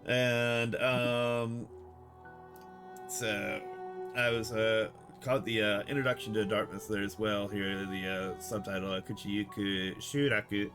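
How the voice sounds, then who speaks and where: Relaxed man translating a game. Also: fairly low pitch, slow, over music. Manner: relaxed, thinks aloud with many fillers. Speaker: streamer teaching Japanese through a game. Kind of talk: live-stream commentary and translation.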